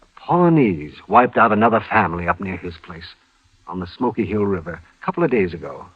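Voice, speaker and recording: An American actor playing a fussy, weary older town doctor in a 1950s radio western, excitable and prone to repeating himself. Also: male voice, deep voice, a little fast, husky. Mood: grave, urgent. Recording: dull vintage radio recording.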